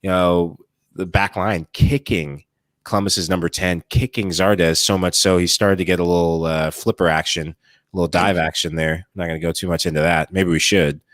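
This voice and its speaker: A Midwestern American man with a very low voice talks soccer as a former player. He is emphatic and keen. The sound is dry. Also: steady tone, normal speed, fairly bright tone, no room sound. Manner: enthusiastic, emphatic. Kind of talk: casual sports talk.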